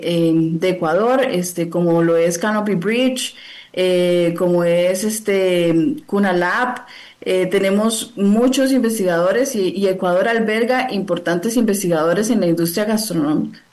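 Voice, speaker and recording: A woman's voice: mid-range voice, a little mellow. A woman from Costa Rica, a gastronomy researcher, answering interview questions over a video call in a friendly, fluent way with many fillers.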